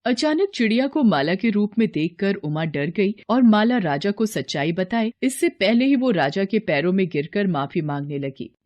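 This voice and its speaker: A woman narrates a children's story from a script. Her voice sits at an average pitch, with the delivery of a fairy-tale narrator. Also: sing-song, moderate pace, hardly any pauses, muffled recording.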